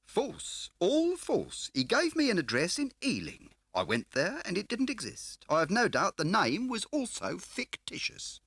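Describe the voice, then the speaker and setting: A fat, shifty car dealer for an audiobook. The voice is pitched well up from the reader's own and swoops about, with boisterous, wheedling, mock-grand phrasing and a bright, forceful edge. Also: male voice, wildly swooping pitch, a little slow, creaky, hardly any pauses, slightly rough, dry recording, heavily acted. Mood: evasive, mournful. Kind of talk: audiobook character voice.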